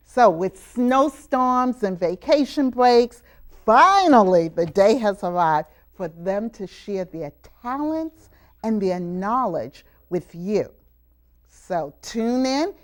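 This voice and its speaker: Woman hosting an educational TV show. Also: medium pitch. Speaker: television show host and teacher. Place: live television taping at a community college.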